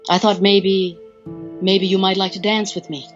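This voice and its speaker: A woman playing a courtly, devoted immortal lover in an audio drama, gentle, formal and coaxing with a possessive edge. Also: medium pitch, flat delivery, unhurried, lightly acted. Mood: tender, courting. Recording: muffled audio, faint music behind.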